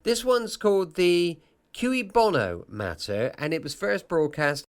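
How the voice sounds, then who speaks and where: A man's voice: high voice, lively intonation, unhurried. A man chattily introducing a late-night podcast as its host.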